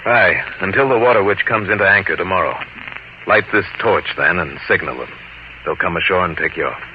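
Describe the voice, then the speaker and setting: An actor playing a weathered seafaring adventurer telling his tale gravely and deliberately, in old-time radio drama style. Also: deep voice, lively intonation, a little hiss or hum. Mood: steady, practical. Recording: muffled vintage radio broadcast, music behind.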